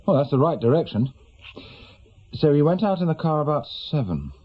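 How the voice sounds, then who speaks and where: A man playing an authoritative, probing senior police detective in a radio drama, questioning briskly and methodically. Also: on the high side, very animated. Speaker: actor in a radio play. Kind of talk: naturalistic radio drama acting. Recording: dull, lo-fi radio drama recording.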